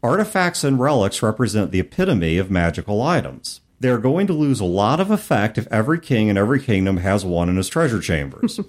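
Lively, animated man on a podcast. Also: lowish voice. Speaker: podcast co-host. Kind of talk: casual discussion, reading rulebook passages aloud.

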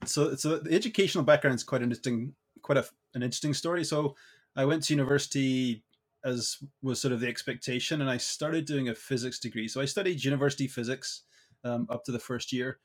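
A man's voice: medium pitch, fairly even intonation, fast. A middle-aged Scottish man speaks as an interview guest. His answers come out thoughtfully, with restarts and filler words as he works through his ideas. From Scotland.

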